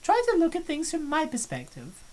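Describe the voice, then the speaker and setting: A woman's voice: high voice, huge pitch swings, brisk, a little mellow. A woman voicing a breezy, self-assured young woman. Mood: cheerful, self-assured. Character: confident, cheerful large young woman. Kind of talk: fan voice-over of a visual novel.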